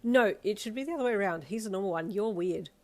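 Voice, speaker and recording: This is a sarcastic, excitable young woman in a romance story, played by a female narrator. She banters expressively and wears her feelings openly. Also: medium pitch, quick pace, lightly acted. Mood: exasperated, animated.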